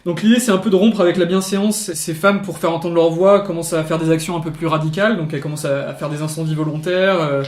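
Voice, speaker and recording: A man's voice: fairly high pitch, fairly even intonation, fast talker, hardly any pauses. A man co-hosting a casual discussion show, talking through his research with many fillers and restarts. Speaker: podcast co-host. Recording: echoey room.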